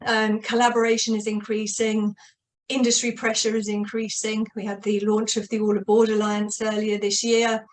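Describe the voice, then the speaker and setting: Woman hosting a webinar, upbeat but pretty flat pitch, lots of ums. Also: normal speed, rough recording. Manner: upbeat, many fillers and restarts. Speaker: webinar host. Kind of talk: opening remarks.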